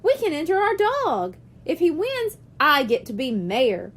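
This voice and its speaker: A woman reading a children's audiobook, voicing an excitable, goofy young boy with an exclamatory, boastful manner. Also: high-pitched, measured pace, exaggerated voice. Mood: excited, boastful.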